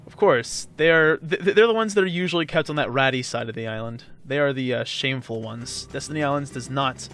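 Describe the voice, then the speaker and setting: A man giving casual video game commentary, explaining in a halting, rambling way with sarcastic jokes. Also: medium pitch, fairly bright tone. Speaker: let's play host and player. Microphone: voice-over commentary recorded over game footage. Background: game music.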